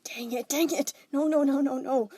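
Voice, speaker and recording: A woman's voice: extremely high pitch, sing-song, moderate pace, voice pushed up. A woman voicing a frightened young male hero who stammers and wheezes, breathless with panic. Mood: panicked.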